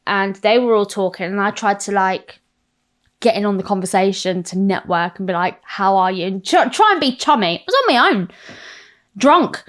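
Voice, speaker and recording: Woman, thirties, English accent, podcast guest, candid, animated, self-mocking, swears, mid pitch. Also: moderate pace. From South London. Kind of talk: candid interview chat.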